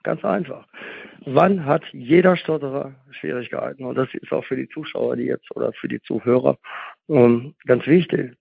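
A man with a husky voice, hosting a conversational interview as a therapist and explaining emphatically through questions he answers himself. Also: medium pitch, moderate pace, dry recording. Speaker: host and stuttering therapist. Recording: phone-like, band-limited sound.

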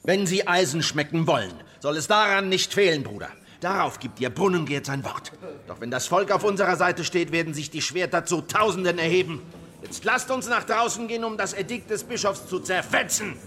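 A man's voice: high voice, expressive, fairly quick, sharp tone. An actor playing a wary, battle-ready Anabaptist swordsman, terse and resolute, in a German historical radio drama. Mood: rousing, resolute.

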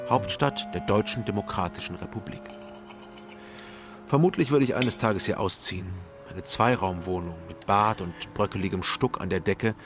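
An actor playing a detective in his thirties, narrating a radio crime drama in a dry, reflective way. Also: deep voice. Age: 30s. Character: thirty-year-old sober, lonely private detective narrating. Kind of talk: scripted first-person radio drama narration. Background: music.

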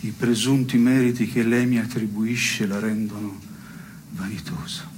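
A man's voice: on the low side, steady tone, darkish tone, close to an everyday voice. Fields: Sex: man. Role: actor as dry, probing stage-dialogue partner. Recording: noisy. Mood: pensive, provoking. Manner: deliberate, mock-formal, measured phrasing. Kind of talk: stage-play dialogue for radio.

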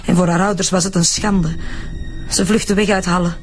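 An actress with a Flemish accent playing an earnest, frightened daughter explaining herself in a radio drama. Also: female voice, fairly low pitch, steady tone, brisk, soft background music, close to an everyday voice. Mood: earnest, sorrowful. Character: frightened, earnest secret daughter confessing family history.

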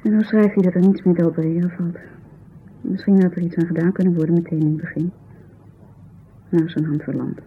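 A woman's voice: lowish voice, steady tone, a little fast, pauses often, slight background noise, lightly acted. An actress playing a distraught, indignant woman in a 1970s Dutch radio drama, speaking with agitated, bitter emphasis. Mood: troubled, regretful. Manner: agitated, emphatic, bitter storytelling with tag questions. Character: distraught, indignant woman telling of her injured friend. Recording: muffled 1970s radio recording.

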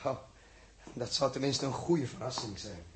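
An actor playing a weary, puzzled man who is gentle with a child, in a hesitant 1960s radio drama delivery. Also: mid-range voice, normal speed, slight background noise, lightly acted.

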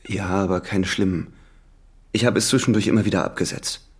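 An actor playing a weary, guarded ex-convict in a radio crime drama, husky and reserved. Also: male voice, low voice, steady tone, brisk. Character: guarded, weary male ex-convict, evasive and restrained.

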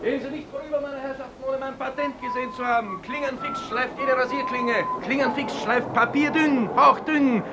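An actor playing a street hawker in a 1950s radio play, crying out repetitive, rhyming sales patter. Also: male voice, very high-pitched, steady tone, fairly quick, few pauses. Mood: boastful, hawking. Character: loud-mouthed street hawker of razor sharpeners. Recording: echoey, very noisy 1950s radio broadcast.

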